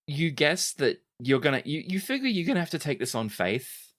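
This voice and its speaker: A man's voice: a little high, big pitch swings, normal speed, fairly bright tone. A man speaking as game master, improvising narration for a tabletop game in a conversational, hesitant way.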